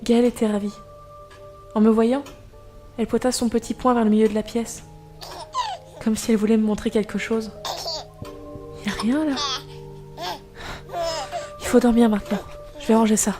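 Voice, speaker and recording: An actress playing an anxious young mother, telling an eerie tale in measured first-person narration for an audio drama. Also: fairly high pitch, little pitch movement, music in the background, close to an everyday voice. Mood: uneasy, then puzzled.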